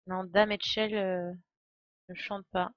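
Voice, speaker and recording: A woman's voice: mid-range voice, level tone, takes it slowly, dry recording. Dry, slightly muffled young woman streamer. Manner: sardonic, slangy. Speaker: game streamer. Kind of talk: casual live game commentary. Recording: slightly dull, dry microphone sound.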